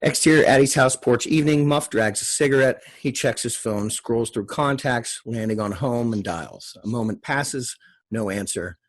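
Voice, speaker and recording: A man narrates screenplay directions for a table read. He speaks in short, measured phrases. His voice sits at a medium pitch with a husky edge. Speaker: stage-direction reader.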